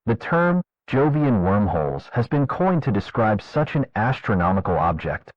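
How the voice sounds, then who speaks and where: A man narrating a fictional scientific government report in a formal, measured, clinical manner. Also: on the low side, sing-song, no echo. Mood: formal, detached. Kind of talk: scripted documentary narration, analog horror. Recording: dull lo-fi narration track.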